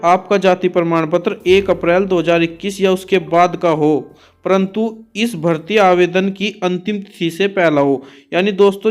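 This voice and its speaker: A man giving a methodical informational explanation, restating points so they are clear. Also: sits high. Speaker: exam and recruitment explainer, host.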